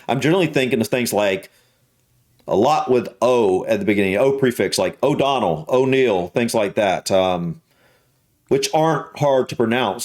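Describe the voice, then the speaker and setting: A man with a Southern US accent hosting a reaction video, chatting casually and hesitantly, often restarting and repeating his words. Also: medium pitch. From United States.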